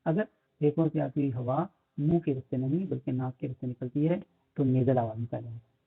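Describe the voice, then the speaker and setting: A man with a Pakistani accent, teaching phonetics in a lecture. He mixes English terms into his explanations, uses many fillers and reads English lines slowly. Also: medium pitch, steady tone, vocal fry, breathy edge. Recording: tinny phone-like audio.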